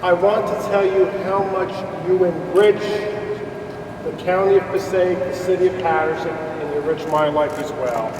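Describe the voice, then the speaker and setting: A man guest speaker with a big voice, giving a heartfelt, loud speech at a community gathering in a large hall. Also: high-pitched, fairly even intonation, moderate pace. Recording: echoey hall from far off, noisy.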